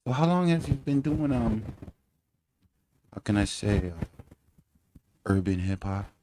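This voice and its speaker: Deep-voiced laid-back male host. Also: lively intonation, measured pace, background noise. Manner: laid-back slangy talk, laughs often. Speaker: show host. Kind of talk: casual interview chat.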